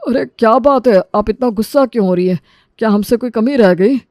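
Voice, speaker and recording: A man's voice: high-pitched, fairly quick, a little mellow, no echo. Guy playing a middle-aged father-in-law in a story, ingratiating schemer, dry close sound. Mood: concerned.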